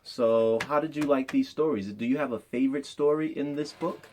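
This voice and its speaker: A man's voice: medium pitch, normal speed, a little mellow, slight background noise. A man chatting casually with a child as a father and host, asking simple questions in an encouraging way. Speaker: father interviewing his child on a storytime show.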